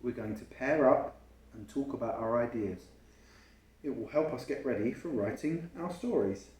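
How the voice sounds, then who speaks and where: A man voicing an encouraging male schoolteacher in an expressive picture-book reading. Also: medium pitch, normal speed, lightly acted. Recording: roomy, echoing home recording.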